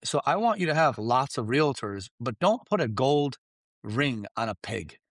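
A man whose first language is Farsi, giving emphatic, animated business coaching and often checking in with "right?" and "you understand?". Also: mid-range voice, normal speed, dry recording, clean studio sound. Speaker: guest expert. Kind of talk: energetic business coaching talk.

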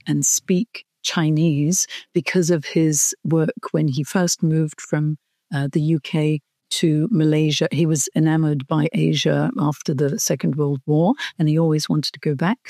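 A woman's voice: deep voice, darkish tone, no echo, studio quality. A middle-aged woman from Hong Kong, a career coach, telling her life story in an interview, earnest and reflective, with small restarts.

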